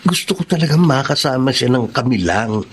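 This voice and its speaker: An actor playing an eager, lovestruck young man in a radio drama, earnest and coaxing with drawn-out emphasis. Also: medium pitch, expressive, a little hiss or hum, natural voice. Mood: pleading, scheming. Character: Norman, lovestruck jokey young suitor, eager and sincere. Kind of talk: Tagalog radio drama acting.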